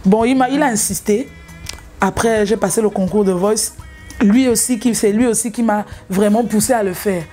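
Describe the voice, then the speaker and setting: A woman's voice: mid-range voice, normal speed, mellow, faint music behind. A young woman from Gabon, a singer, telling her story in an interview, hesitant, with many fillers and restarts. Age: young adult.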